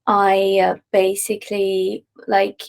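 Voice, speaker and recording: A young woman talks with a gravelly, creaky edge, and her pitch stays fairly even. She speaks casually, dropping in likes and ums as she explains her work. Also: slow. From Iran. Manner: relaxed, with frequent likes and ums. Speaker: interviewee, freelance artist. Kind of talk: casual interview answers.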